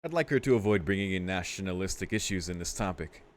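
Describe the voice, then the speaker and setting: Man, lowish pitch, let's play host, visual novel reading, casual amused delivery. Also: lively intonation, fast. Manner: amused, sweary, informal asides. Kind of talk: visual novel read-aloud with casual commentary.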